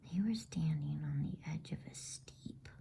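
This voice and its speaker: A woman reading a bedtime story in a soothing, breathy ASMR whisper with deliberate pauses. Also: deep voice, dark tone. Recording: faint background noise.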